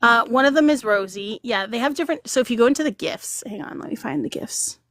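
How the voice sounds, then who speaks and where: A woman's voice: on the high side, fast talker, fairly bright tone, no room sound, clean studio sound. Giggly woman chatting on a livestream. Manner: giggly, rambling, reads chat aloud. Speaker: livestream host. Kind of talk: casual livestream chat.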